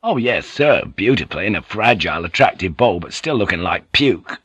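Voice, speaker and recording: A man's voice: deep voice, animated, moderate pace, fairly bright tone, slightly rough, no room sound, heavily acted. A man voicing a blunt, opinionated, irritable European man of the sea in an audiobook. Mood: irritated, scornful. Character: blunt, irritable European seafarer living in Japan.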